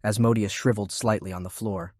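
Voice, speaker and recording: A man reading scripted fiction narration in a measured, deliberate way. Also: lowish voice, steady tone, a little mellow, dry recording, clean studio sound. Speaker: audiobook narrator. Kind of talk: scripted narration of a fantasy war novel.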